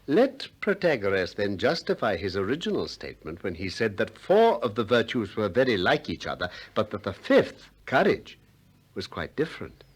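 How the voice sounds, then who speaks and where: An actor playing a male philosopher in a 1960s radio drama, courteous but relentless, articulate and measured as he questions insistently. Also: fairly low pitch, sing-song, husky. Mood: probing, insistent.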